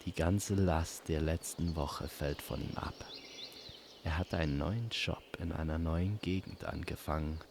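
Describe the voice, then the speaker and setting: Very deep, slow, creaky male storyteller. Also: background noise. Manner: calm, unhurried, soothing bedtime-story delivery. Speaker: storyteller and host. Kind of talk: slow scripted fantasy audio storytelling.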